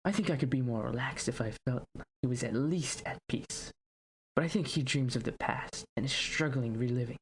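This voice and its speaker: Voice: medium pitch, normal speed, a little hiss or hum, natural voice. An actor playing an anxious young prince who misses his father, speaking in a hesitant, plaintive, earnest way in an audio drama. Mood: sad, subdued. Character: anxious, grieving young prince, devoted son. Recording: music bed behind the voice.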